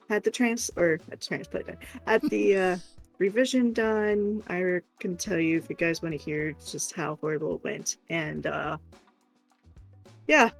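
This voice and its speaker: A woman's voice: on the low side, gravelly, poor audio. A woman chatting casually and candidly as a livestream co-host, rambling informally.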